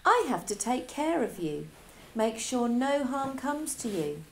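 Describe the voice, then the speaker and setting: A woman voicing a calm, eerily devoted schoolboy in a storybook, speaking in a soft, steady, insistent way. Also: on the high side, measured pace, a little hiss or hum, voice pushed up, poor audio. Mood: earnest.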